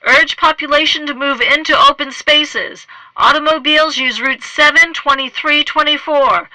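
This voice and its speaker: A woman's voice: high-pitched, fairly even intonation, normal speed, sharp tone, dry recording, low-quality recording. A woman playing an emergency radio operator in a radio drama, announcing in a clipped, urgent way. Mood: urgent, official. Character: brisk emergency radio operator issuing warnings.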